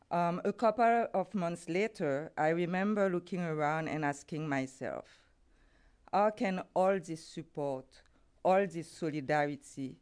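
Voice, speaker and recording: Middle-aged Haitian-accented woman, non-native English, unhurried delivery, smooth clear tone. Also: low voice. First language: Haitian Creole or French. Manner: careful, deliberate, formal phrasing. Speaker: guest speaker, NGO program head. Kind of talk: prepared conference talk.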